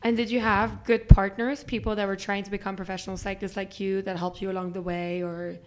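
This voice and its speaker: A woman's voice: medium pitch, little pitch movement, brisk. Female interviewer from Belgium, medium voice, inquisitive. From Belgium, grew up partly in Germany. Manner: curious, short follow-up questions. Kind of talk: conversational interview.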